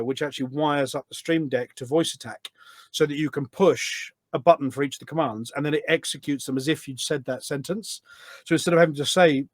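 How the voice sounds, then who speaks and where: Higher-voiced man chatting enthusiastically, dry sound. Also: no room sound. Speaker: podcast guest, voice pack producer. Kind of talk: casual interview chat, telling anecdotes.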